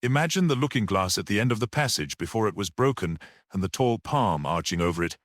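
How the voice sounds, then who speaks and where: A man's voice: lowish voice, expressive, no room sound, close to an everyday voice. Man as a mild, grave priest-detective. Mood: grave, musing. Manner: grave, measured, unruffled, almost dreamy. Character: mild, perceptive priest-detective, calmly reasoning.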